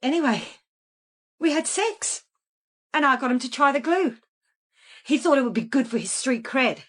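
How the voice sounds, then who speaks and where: A calculating murderess in a radio crime drama. Her voice sits fairly high, and she recounts what she did in a plain, unrepentant manner, as if it were everyday business. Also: animated, normal speed, a bit bright. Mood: matter-of-fact, reminiscing. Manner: matter-of-fact, unrepentant. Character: calculating female killer calmly confessing in a police interview. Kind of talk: naturalistic radio drama acting.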